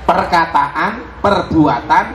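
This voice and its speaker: A man's voice: on the high side, slow. A man with a Javanese accent preaching a humorous sermon to a live crowd, teasing them and mixing in Javanese slang. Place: large event hall with live audience. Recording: echoey hall, loud crowd noise.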